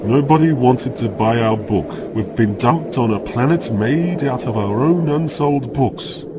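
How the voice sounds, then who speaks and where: A wry, sheepish writer with a deadpan, matter-of-fact delivery, played by a man in a comic sci-fi radio play. His intonation jumps around a lot, and a loud, steady noise runs under the whole recording. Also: mid-range voice, measured pace, close to an everyday voice.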